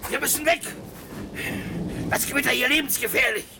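An actor playing a wild, lonely marooned ex-pirate sailor in a radio play, halting and eager. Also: male voice, very high voice, gravelly, background noise. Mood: urgent. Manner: halting, wistful, eager, with sudden urgency. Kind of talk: German-language radio play acting.

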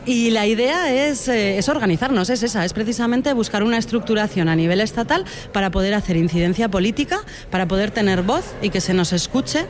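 A woman speaks earnestly about her work as a beekeeper and educator. She talks in a thoughtful, searching way and often asks "right?" as she goes. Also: mid-range voice. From Spain (Huesca, Aragón). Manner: thoughtful, earnest, frequent tag questions. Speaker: beekeeper and environmental educator, interviewee. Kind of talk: radio interview answers.

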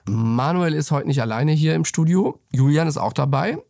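A male interview host with a gravelly voice asks long questions that lay out the background before the point. The studio sound is dry and close. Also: on the high side, normal speed, a little mellow, no room sound.

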